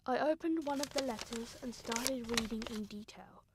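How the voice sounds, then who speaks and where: A woman playing a grieving young sister, narrating a radio drama in an earnest, sombre, deliberate way. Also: fairly high pitch, very noisy, close to an everyday voice. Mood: sombre, wondering.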